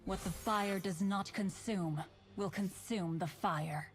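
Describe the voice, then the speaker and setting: A woman's voice: medium pitch, takes it slowly, faint music behind, lightly acted. An actress voicing a solemn, zealous female warrior of light for a video game, in a declarative, proclaiming manner. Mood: solemn conviction.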